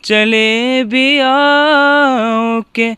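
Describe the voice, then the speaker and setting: A man singing a ghazal in recital, with drawn-out, melodic phrasing. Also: very high-pitched, fairly even intonation, bright, forceful tone, clear, resonant tone, no echo, soft background music. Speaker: singer, ghazal performer. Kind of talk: slow sung ghazal recital.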